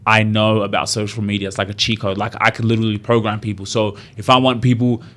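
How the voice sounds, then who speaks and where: A man talking as a guest on a podcast. He speaks in a relaxed, rambling way. He fills his sentences with "like" and "you know.". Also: fairly low pitch, fast talker. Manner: loose and chatty, full of "like" and "you know". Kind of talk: casual conversation.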